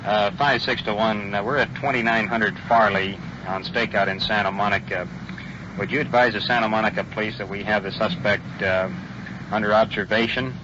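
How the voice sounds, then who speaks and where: A man's voice: fairly low pitch, fairly even intonation, normal speed. Terse American policeman, muffled noisy recording. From United States. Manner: terse, businesslike. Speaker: police officer on patrol. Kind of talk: real police radio calls and on-duty talk. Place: police car on the road. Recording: dull 1950s field recording, loud car noise.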